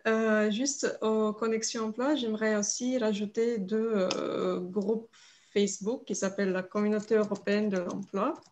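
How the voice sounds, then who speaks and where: A woman moderating an online panel, polite and brisk. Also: mid-range voice, level tone, a little mellow, a little hiss or hum.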